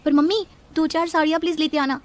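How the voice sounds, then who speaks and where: A woman playing a sweet, meek young daughter-in-law who complains gently in a cartoon story. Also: high voice, fairly even intonation, quick pace, a little hiss or hum, lightly acted. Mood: pleading. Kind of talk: animated cartoon story acting.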